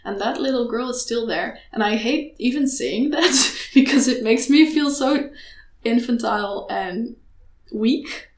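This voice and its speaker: Earnest young woman, roomy sound. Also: on the high side. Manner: earnest, passionate, halting with many restarts. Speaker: student activist. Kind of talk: candid conversation. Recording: echoey room.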